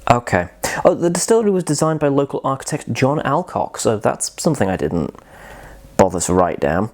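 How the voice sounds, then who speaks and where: A man's voice: mid-range voice, big pitch swings, fairly quick. A man hosting a casual whisky review vlog, chatty and rambling, often poking fun at himself. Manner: chatty, rambling and self-deprecating.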